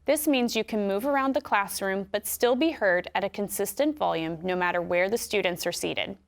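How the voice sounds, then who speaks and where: Calm American woman explaining step by step. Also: mid-range voice. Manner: clear, measured explanatory delivery. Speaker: instructional video narrator. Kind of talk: scripted instructional narration.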